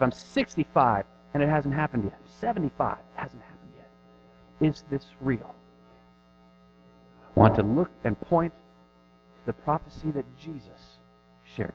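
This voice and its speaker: A man in his thirties preaching a sermon in an earnest, conversational way, pushing hard on some phrases and dropping to a hush on others. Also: fairly high pitch. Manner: earnest, conversational preaching with rising and falling emphasis. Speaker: pastor. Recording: dull lo-fi recording with faint music.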